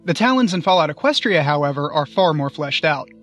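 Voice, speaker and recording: A man's voice: fairly high pitch, animated, brisk, fairly bright tone, lo-fi sound, faint music behind. A man giving scripted review commentary as a guest.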